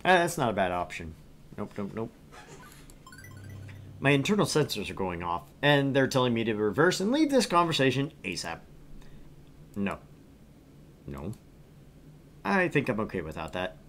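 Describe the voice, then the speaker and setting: Animated, wry game streamer reading aloud. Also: medium pitch, normal speed, long pauses, slight background noise. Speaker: gaming streamer. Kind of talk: visual novel read-aloud with casual commentary.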